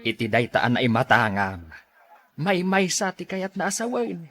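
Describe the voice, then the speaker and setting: A man acts out a blunt old father in an Ilocano radio drama. His voice is husky and bright, swinging up and down in pitch. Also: sing-song, unhurried, full character voice. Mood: disapproving.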